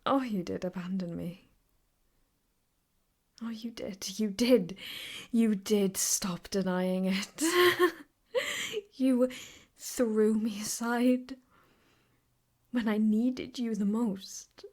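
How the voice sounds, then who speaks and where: A woman playing an obsessive, possessive yandere ex-girlfriend in an improvised ASMR roleplay, speaking intimately and haltingly, often slipping into whispers. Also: medium pitch, a little mellow. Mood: accusing, insistent, then wounded, bitter. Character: obsessive, possessive yandere ex-girlfriend holding her captive lover.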